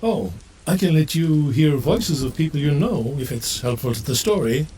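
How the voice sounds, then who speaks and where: A man's voice: on the high side, animated, moderate pace, warm tone, slight background noise, close to an everyday voice. A man playing an all-knowing, slightly bumbling narrator of a comedic audio drama. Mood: wry. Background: music and rain.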